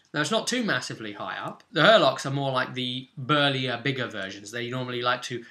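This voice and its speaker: A man's voice: mid-range voice, lively intonation, brisk, sharp tone. A man hosting as game master, casually explaining a tabletop role-play session in loosely phrased speech with asides.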